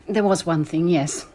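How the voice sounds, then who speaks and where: An actress playing a prim, guarded schoolmistress with brisk, precise speech in a radio mystery drama. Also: female voice, fairly low pitch, mellow.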